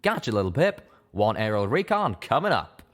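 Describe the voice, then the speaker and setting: A man's voice: fairly low pitch, big pitch swings, normal speed, a bit bright. A man voicing a folksy, casual cowboy pegasus stallion in a story reading. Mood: easygoing, keen.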